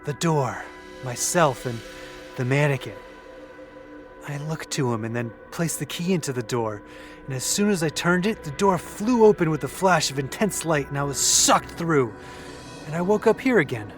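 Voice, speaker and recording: A young man acting in an audio drama as a shaken young man who tells of things earnestly, with halting pauses. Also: on the high side, animated, faint music behind, natural voice. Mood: awed, haunted. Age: young adult. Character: shaken young man recounting an eerie vision.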